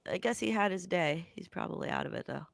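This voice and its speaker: A woman's voice: on the low side, lively intonation, fast, vocal fry, no echo, clean studio sound. Giggly woman with a cough. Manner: giggly, playful, teasing. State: has a cough. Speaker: co-host. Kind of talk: casual live-stream chat. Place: room at home. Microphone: handheld mic.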